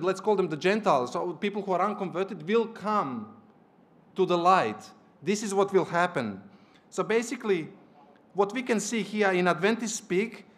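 A man preaching a church sermon in a didactic way, reading passages aloud and checking in with his listeners through short questions. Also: sits high. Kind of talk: church sermon with read-out scripture and quotations. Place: small church hall, at a lectern.

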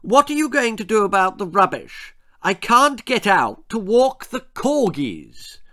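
Man putting on an imperious, high-pitched Queen. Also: sing-song, unhurried, pushed and bright, full character voice. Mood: indignant. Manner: commanding, impatient. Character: imperious, demanding elderly Queen of England.

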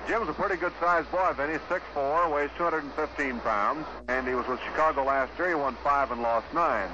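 A man's voice: fairly high pitch, brisk, lo-fi sound. A man co-commentating baseball in 1950s radio style, reciting statistics in a matter-of-fact way. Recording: noisy, band-limited 1950s radio broadcast.

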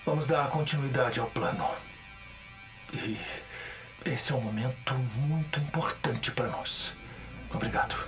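An actor playing a commanding, calmly plotting male villain in an audio drama, slow and solemn. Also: medium pitch, over music, natural voice.

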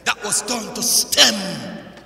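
High-pitched, forceful male preacher in echoing church. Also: creaky. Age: middle-aged. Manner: emphatic, dramatic pauses and repetition. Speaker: pastor preaching. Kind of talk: impassioned church sermon. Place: large church sanctuary, indoors. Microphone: pulpit microphone on a stand. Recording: echoey church hall, long reverb.

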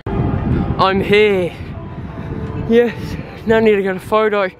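A man's voice: high voice, little pitch movement, takes it slowly, music in the background. A young man vlogging casually on a handheld camera out in the city. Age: teens or young adult. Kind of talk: casual vlog talk to camera. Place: busy train station and city streets outdoors. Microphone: handheld camera or phone. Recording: very noisy outdoor city ambience, handheld camera.

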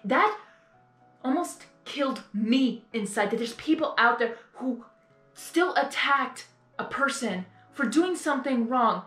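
A young adult woman giving casual reaction commentary in an earnest, halting, repetitive way. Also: high-pitched, animated, a bit bright, soft background music. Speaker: reaction video host. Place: small bedroom, indoors. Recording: roomy bedroom echo.

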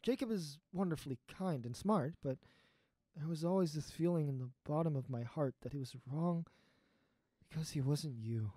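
A young adult actor playing an earnest, heartbroken character with halting speech in an audio drama. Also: mid-range voice, warm tone, no room sound, lightly acted. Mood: wistful, hesitant. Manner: hesitant, earnest, halting confession. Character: heartbroken, lovesick young adult secretly turning into a beast.

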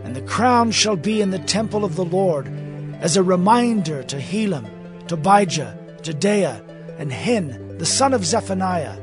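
A man's voice: sits high, sing-song, unhurried, over music. A man playing an earnest, awed prophet recounting his visions dramatically in an audio Bible. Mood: proclaiming.

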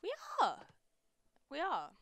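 A woman co-hosting a let's play, chatting playfully about the game in a slightly croaky voice. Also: sits high, wildly swooping pitch, slow, a bit bright, slight background noise. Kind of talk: casual gameplay commentary.

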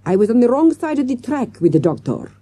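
Woman playing a self-assured French police inspector, lively intonation. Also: on the high side, brisk, husky, dry recording, voice pushed up. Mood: rueful, musing.

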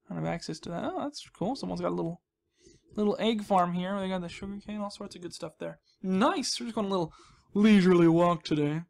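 A man's voice: high voice, fast, creaky, a little mellow. A man hosting a gaming video with casual, rambling commentary, chatty and jokey with a self-deprecating streak.

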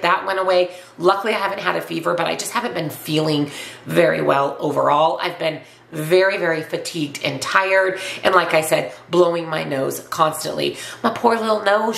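Woman with a head cold, stuffy nose, low voice, chatty vlog, echoey home room. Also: vocal fry, a bit bright. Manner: chatty and upbeat, repeats herself. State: congested with a cold. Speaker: weight loss vlogger. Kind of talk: casual vlog to camera.

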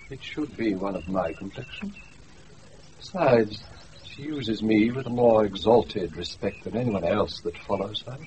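An actor with a British accent playing a pompous, self-infatuated steward in a radio Shakespeare play. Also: male voice, mid-range voice, darkish tone. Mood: smug musing. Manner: pompous, savouring each phrase. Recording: muffled, noisy off-air radio recording.

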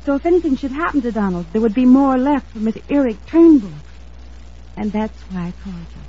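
Worried young woman, earnest, insistent, highish pitch, slightly husky, vintage radio drama, dull recording. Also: expressive, a little fast. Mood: bitter, worried. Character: anxious, insistent young woman fearing for her fiancé. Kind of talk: old-time radio drama acting.

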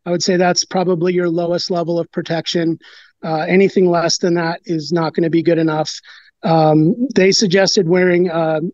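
A man in his thirties explains things in a casual, halting way, full of fillers and restarts. His voice sits fairly high for a man. The sound is dry and a bit dull, like a webcam call. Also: level tone, a little mellow, no room sound. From United States. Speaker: brewer, interview guest. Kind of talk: casual interview talk.

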